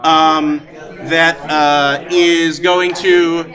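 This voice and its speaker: A man working as game master, narrating a tabletop roleplaying game in a halting, improvised, amused way. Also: on the high side, little pitch movement. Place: live event at a gaming convention. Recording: live convention hall with crowd noise. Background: audience crowd.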